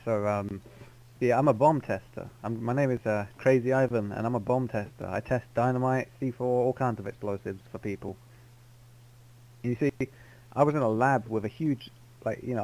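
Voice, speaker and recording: A man's voice: mid-range voice, darkish tone, poor audio. Guy on game voice chat rambling thru a made-up backstory, keeps correcting himself. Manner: rambling, self-correcting storyteller. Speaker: roleplay player. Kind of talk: in-character roleplay storytelling.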